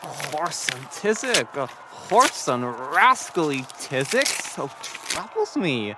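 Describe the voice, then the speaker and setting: A man's voice: a little high, sing-song, takes it slowly, very noisy, exaggerated voice. A man playing an ailing, fussy older go-between who grumbles and rambles in a Shakespearean audio drama. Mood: grumbling.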